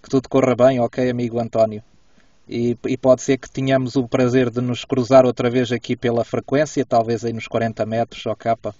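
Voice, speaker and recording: A man's voice: mid-range voice, flat delivery, brisk, mellow. A man with a European Portuguese accent chatting casually and courteously as a radio ham inside a car, often checking back with his listener. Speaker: amateur radio operator. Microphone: camera a little way from him. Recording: dull, roomy, distant camera microphone.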